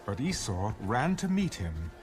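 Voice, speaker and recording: A man narrating dramatized scripture with measured storytelling. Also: lowish voice, sing-song. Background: music.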